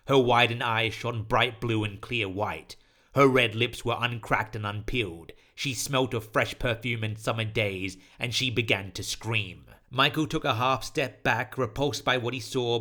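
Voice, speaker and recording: A man narrating a scripted horror story in a solemn, deliberate, literary manner. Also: medium pitch, pushed and bright.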